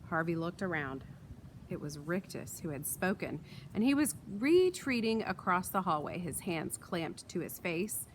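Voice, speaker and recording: A woman reading a children's book aloud in an expressive storytelling style, recorded outdoors. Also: fairly low pitch, a little slow, low-quality recording. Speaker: read-aloud reader. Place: outdoors in wind. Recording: light outdoor wind and ambient noise.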